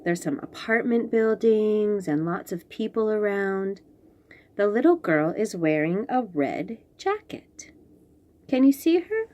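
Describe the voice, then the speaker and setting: A woman's voice: mid-range voice, expressive, slow pace, warm tone. A woman reading a picture book to young children, describing the pages in simple short phrases and asking the listener questions. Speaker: children's read-aloud host.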